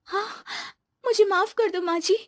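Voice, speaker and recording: An earnest, aggrieved young daughter-in-law played by a woman in a cartoon. Her voice is very high and complaining, with a dry sound. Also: steady tone, measured pace, fairly bright tone, no echo. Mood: contrite. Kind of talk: animated cartoon dialogue in Hindi.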